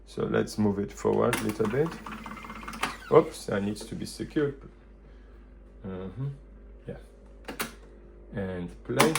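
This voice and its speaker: A man presenting a casual hands-on product demonstration, thinking aloud in loose, halting phrases. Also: fairly low pitch, vocal fry, noisy background. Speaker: vintage hi-fi video presenter.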